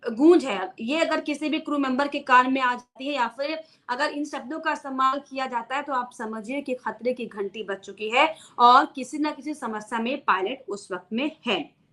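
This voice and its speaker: A woman's voice: sits high, normal speed, a bit bright. A woman news presenter giving an unscripted explainer to viewers, repeating key phrases for emphasis.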